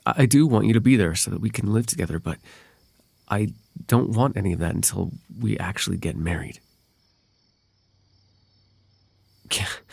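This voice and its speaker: A man playing a possessive, lovelorn fae royal heir in an intimate ASMR roleplay, with halting, tender delivery and formal, old-fashioned wording. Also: low-pitched, vocal fry, darkish tone, slightly rough, dry recording, full character voice. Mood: guarded, possessive.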